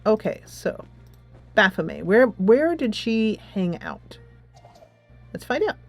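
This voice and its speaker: Lively woman hosting a game playthrough. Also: medium pitch, takes it slowly, faint music behind. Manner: expressive, chatty asides. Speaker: gaming channel host. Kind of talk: let's play commentary, reading game dialogue aloud.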